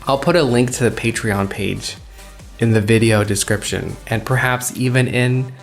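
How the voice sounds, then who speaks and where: A man's voice: mid-range voice, normal speed, creaky, soft background music. A man hosting a podcast in casual, unscripted chat, rambling and self-deprecating. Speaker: podcast host and reader.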